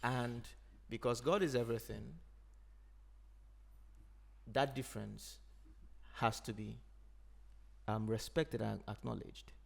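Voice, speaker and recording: A man from Nigeria, an Igbo speaker, talking in a thoughtful, unhurried way as a podcast host. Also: medium pitch, steady tone, gravelly. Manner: thoughtful, unhurried, frequent "you know". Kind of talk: reflective conversation.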